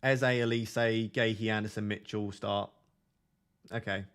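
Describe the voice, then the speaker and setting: A young man hosting a live stream, chatting casually with his viewers in a flat, monotone, self-deprecating way. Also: lowish voice, measured pace, clear, resonant tone. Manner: self-deprecating, chatty, reads out chat messages. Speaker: livestream host.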